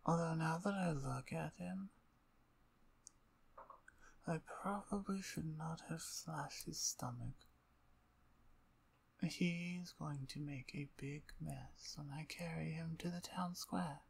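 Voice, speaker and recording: A woman playing a menacing, playful vampire usurper in an ASMR roleplay, domineering and seductive, with breathy speech that often drops toward a whisper. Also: low-pitched, darkish tone, full character voice. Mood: cold, threatening. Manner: slow, deliberate, domineering, teasing.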